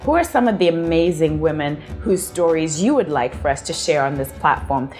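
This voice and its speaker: A woman's voice: sits low, lively intonation, brisk, darkish tone, soft background music. Affirming woman host from zimbabwe. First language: Shona. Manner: affirming, emphatic, speaks in long run-on questions. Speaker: interviewer and show host. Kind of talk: warm encouraging interview and channel outro.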